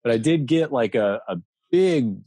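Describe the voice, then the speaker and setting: A man's voice: medium pitch, expressive, unhurried, mellow, no room sound. A man in his thirties from the Midwest, chatting casually as an interview guest over a video call, rambling with many fillers and restarts. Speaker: guest toy maker and artist. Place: home room with toy shelves.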